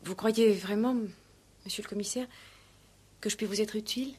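An actress in a 1960s French radio play, playing a guarded, wounded village schoolmistress who speaks formally and with reticence. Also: female voice, medium pitch, normal speed, darkish tone, breathy edge, close to an everyday voice. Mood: wary.

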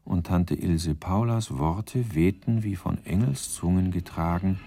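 An actor as the wry, composed narrator of a radio crime drama, reading scripted literary narration. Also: male voice, low-pitched, a little slow, creaky, dark tone, exaggerated voice.

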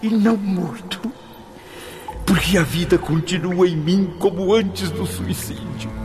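An actor in a radio drama playing an anguished blinded man trapped in torment, theatrical, halting and desperate. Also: sits high. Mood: pained, bewildered. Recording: dramatic music under the voice.

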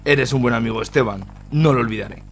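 A man's voice: mid-range voice, fast talker, slight background noise, lightly acted. A man playing a grandfather who is shaken up, hesitates and swears. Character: older grandfather, shaken and crude.

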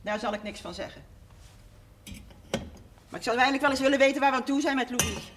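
An actress as a high-voiced, blunt farmer's wife. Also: female voice, a little fast, background noise, lightly acted. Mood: reproachful, insistent. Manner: forthright, insistent. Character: blunt, proud, scheming farmer's wife and mother. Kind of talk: 1970s Dutch television period drama acting.

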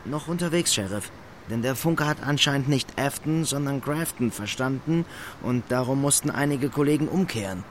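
Ein Mann als leiser, trockener Polizist, hörbares Rauschen. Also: male voice, mid-range voice, normal speed, slight background noise, lightly acted. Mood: deadpan, polite. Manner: formal, deadpan, subdued. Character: quiet, stiffly polite, unflappable male state trooper.

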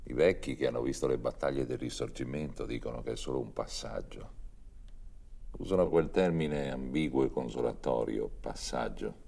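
A man reading scripted historical narration for a documentary film in a measured storytelling manner. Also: a bass voice, creaky, mellow.

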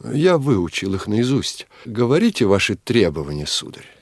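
Deep-voiced resolute prince, dry sound. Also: male voice, very animated, moderate pace, no room sound. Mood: probing, resolute. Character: freed captive prince, resolute, calculating would-be king. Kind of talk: Russian radio play acting.

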